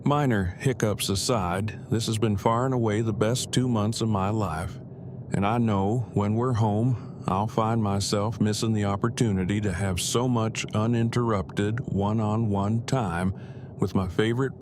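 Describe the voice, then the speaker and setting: A man playing a formal, wordy, low-voiced husband, noisy recording. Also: fairly even intonation, measured pace, close to an everyday voice. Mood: tender. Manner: formal, wordy, precise phrasing. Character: verbose, earnest, bookish husband on a road trip. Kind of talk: audio drama acting.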